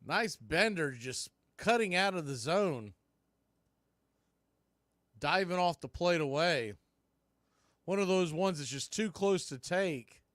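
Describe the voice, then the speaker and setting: A man calling live baseball play-by-play in punchy short phrases, getting excited on big plays. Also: high voice, slow pace, pauses often, no echo, studio quality.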